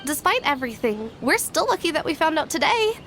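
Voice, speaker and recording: A courteous young publishing editor in a video game. Her voice is high and a little hesitant, with an anxious edge. Music sits faintly behind her. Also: big pitch swings, fairly quick, a bit bright, heavily acted. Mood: worried.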